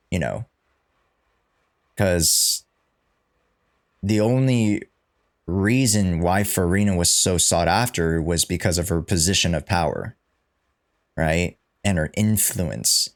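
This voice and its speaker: A man giving casual, musing reaction commentary as a game streamer, in informal speech. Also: low-pitched. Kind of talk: casual reaction commentary, reading subtitles along.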